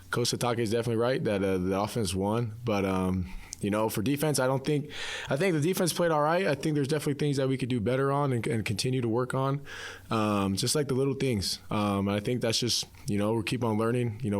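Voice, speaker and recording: Young man, lower pitch, college athlete, casual media interview, repetitive, filler-heavy answers. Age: young adult. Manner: repeats himself, many "you know" fillers. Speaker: college football defensive player.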